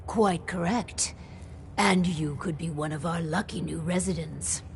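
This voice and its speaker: A polite, formal vault overseer in a video game. She speaks very slowly and rather low, with measured courtesy. Clear noise sits behind her voice. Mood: courteous, composed. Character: polite, formal woman overseer screening new settlers. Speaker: overseer interviewing prospective vault residents. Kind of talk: video game character dialogue.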